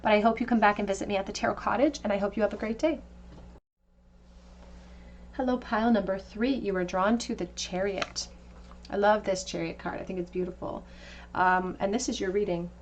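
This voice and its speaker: A woman's voice: medium pitch, fairly even intonation, fairly quick, pauses often, slight background noise. Woman doing a tarot reading. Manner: intuitive, conversational, gently reassuring. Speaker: tarot reader. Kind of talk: tarot card reading to camera.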